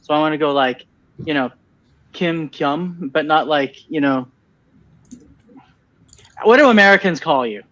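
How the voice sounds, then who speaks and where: A man's voice: on the high side, expressive, unhurried, pauses often, fairly bright tone, highs cut off. A man in a casual webinar conversation, talking with enthusiasm and repeating himself as he starts sentences. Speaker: venture capital partner and co-host.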